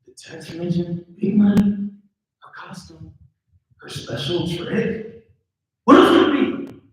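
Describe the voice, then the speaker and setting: A man's voice: high-pitched, slow. A man playing a dramatic, paranoid young husband in a live script table read. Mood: agitated, incredulous. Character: dramatic, paranoid husband suspicious of his new wife. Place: live indoor table read at a mixer event. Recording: echoey room, picked up from far off.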